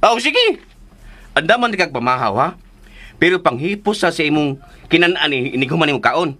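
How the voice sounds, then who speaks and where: An actor playing a gossipy, cheeky, grumbling household servant in a comic radio drama. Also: male voice, fairly high pitch, very animated, a little fast, bright, forceful tone, heavily acted.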